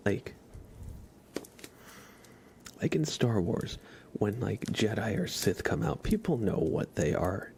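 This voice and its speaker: Male ASMR streamer, casual and hushed, dips into whispers, lots of vocal fry, slight background noise. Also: fairly low pitch, big pitch swings, slow pace, long pauses. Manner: soft-spoken, often dropping into a whisper. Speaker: livestream host. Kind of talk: ASMR, casual rambling chat with live viewers.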